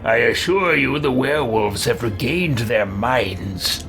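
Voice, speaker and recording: Lively man with a touch of creak. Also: mid-range voice, slow pace. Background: game music.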